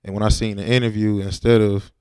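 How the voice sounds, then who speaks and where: A man's voice: lowish voice, flat delivery, quick pace, mellow, clean tone, dry recording. A laid-back man, talking casually and with slang as a rapper in an interview.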